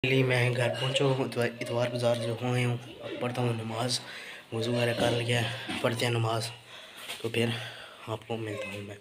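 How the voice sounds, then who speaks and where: A young man from Pakistan casually narrating a walking vlog outdoors, pointing things out as he goes and talking into a handheld phone. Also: mid-range voice. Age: young adult. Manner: chatty, pointing things out as he walks. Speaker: vlogger. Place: outdoors on streets and a market. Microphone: phone camera held in hand. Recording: loud outdoor street and traffic noise.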